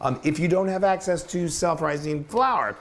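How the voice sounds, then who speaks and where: A man co-hosting a casual cooking show, his voice raspy and hoarse from a cold, chatty and upbeat with frequent stumbles. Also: on the high side, normal speed, fairly bright tone, slight background noise.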